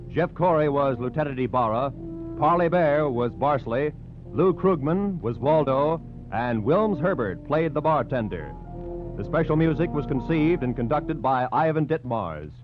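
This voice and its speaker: A man's voice: on the high side, expressive, a little slow. A man with an American accent doing polished, formal scripted announcing in the style of 1940s radio. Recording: dull 1940s radio recording with music. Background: music.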